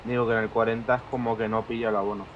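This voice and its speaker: A man playing along on a farming game, making relaxed casual remarks. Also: on the low side, very fast, lo-fi sound, slight background noise. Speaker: co-player. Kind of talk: casual gaming chat.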